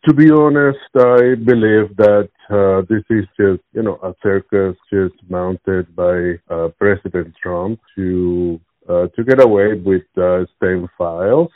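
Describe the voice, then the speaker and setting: Halting Venezuelan man on a phone-like line. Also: sits low, dry recording. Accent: Venezuelan Spanish. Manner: halting, searching for words, repeats himself. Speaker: interviewee. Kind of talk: spontaneous interview answers. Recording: tinny narrowband phone-like line.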